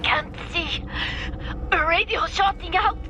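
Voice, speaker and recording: A woman's voice: very high-pitched, slow pace. An actress playing a panicked female resistance fighter who is drowning, gasping and coughing over a radio in a video game. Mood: panicked, choking. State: choking, coughing, gasping for breath. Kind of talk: video game cutscene acting. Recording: noisy radio call.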